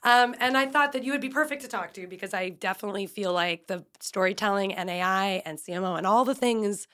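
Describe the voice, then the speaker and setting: A woman's voice: medium pitch, fast, a bit bright. A woman hosting an interview podcast, who reads the guest's introduction and then asks eager questions, laughing often. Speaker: podcast host. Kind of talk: interview host.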